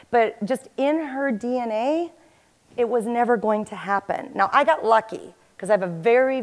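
A woman in her forties, an agile coach, giving an animated conference talk in a large hall on a headset mic. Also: fairly high pitch. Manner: animated, gestures while talking to the audience. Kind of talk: conference presentation.

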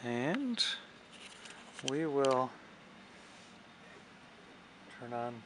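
A man's voice: medium pitch, big pitch swings, extremely slow, a bit bright. Man narrating hands-on, noisy recording. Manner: technical, thinking aloud. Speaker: radio restorer narrating his own repair. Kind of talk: casual hands-on demonstration. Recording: clear background noise.

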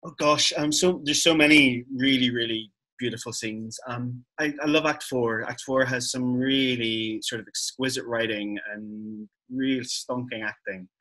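A middle-aged man with a bright, forceful voice of medium pitch answers effusively in a casual interview. He speaks from home on a video call. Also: fairly even intonation, unhurried, hardly any pauses, rough recording. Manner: appreciative, effusive. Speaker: member of theatre company.

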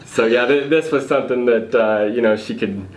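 A man's voice: mid-range voice, normal speed. A man in his thirties being interviewed in an office meeting room, talking casually with many fillers and restarts. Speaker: guest, real estate investor. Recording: echoey room, faint noise.

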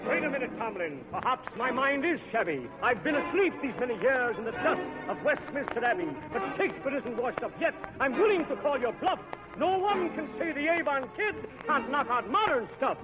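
A man's voice: very high voice, sing-song, fairly quick, hardly any pauses, phone-quality sound, music in the background. A man playing an indignant old-time poet turned jazz-mad hepcat in a 1930s radio musical comedy on a thin recording.

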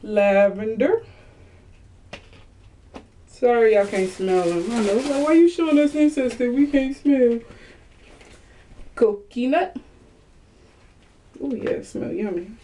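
A woman's voice: fairly high pitch, expressive, slow pace, long pauses, dark tone. A woman, chatting animatedly to viewers in a casual haul video from a small bedroom, recorded on a webcam. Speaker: vlogger.

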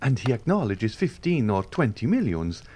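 A giddy, self-satisfied young gentleman gushing over his good luck and bragging of his own cleverness. A man reads him aloud, lifting his voice higher and livelier than his narration, with a swooping, animated lilt. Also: normal speed, breathy edge. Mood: boastful. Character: giddy, boastful young social climber, male.

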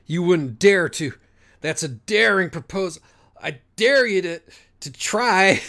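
Man voicing an indignant foul-mouthed pony, pitched up. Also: expressive, a little slow, pushed and bright, heavily acted. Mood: shaken defiance. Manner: snappy, profane, incredulous.

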